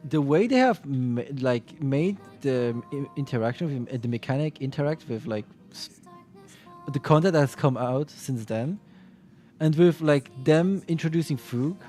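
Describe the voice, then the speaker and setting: A man's voice: mid-range voice, expressive, unhurried, dark tone, faint music behind. A man streaming and chatting casually about a game, rambling with frequent restarts and self-corrections.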